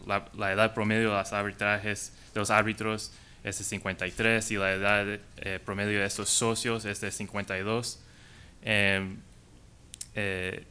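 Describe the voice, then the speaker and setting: Man, English speaker, low pitch, clean resonant tone, halting delivery, conference panelist. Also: bright, forceful tone, smooth. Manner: halting, hesitant delivery in non-native Spanish. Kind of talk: prepared conference talk.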